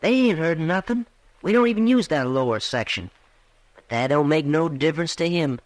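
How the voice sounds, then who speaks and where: An American actor playing a defensive, resentful young farm son in a radio western, with a rural accent and an evasive, clipped manner. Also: male voice, a little high, lively intonation, normal speed, dry recording, natural voice. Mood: impatient. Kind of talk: 1950s radio western acting.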